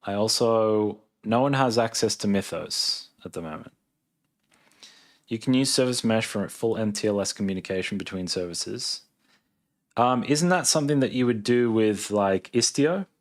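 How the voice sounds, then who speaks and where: A man's voice: lowish voice, moderate pace. A man in his thirties with an Australian accent, chatting casually on a livestream, thinking aloud as he reads and answers questions. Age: 30s. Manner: laid-back, thinking aloud. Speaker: live streamer, software engineer.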